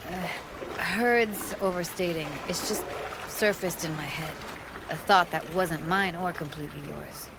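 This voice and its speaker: A woman's voice: on the low side, unhurried, heavy background noise. A young woman acting a frank, wry character in a video game, speaking in a casual, slangy, conversational way. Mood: shaken, gentle. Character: frank, wry young woman reminiscing about her drowned hometown.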